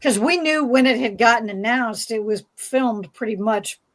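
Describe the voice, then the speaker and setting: A woman with a slightly high voice moderates a panel. She talks casually, putting questions in a halting, conversational manner.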